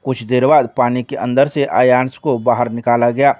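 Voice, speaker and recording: A man's voice: medium pitch, flat delivery, moderate pace, a little mellow, dry recording, dull audio. A man reading scripted local news reports as a news reader.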